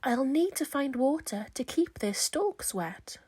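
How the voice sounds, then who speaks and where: A kindly scarecrow bridegroom from a children's story , read by a woman. He sounds earnest and considerate, with unhurried phrasing and a fairly high voice. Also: animated, darkish tone, no room sound, clean studio sound. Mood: thoughtful. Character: gentle, helpful male scarecrow bridegroom.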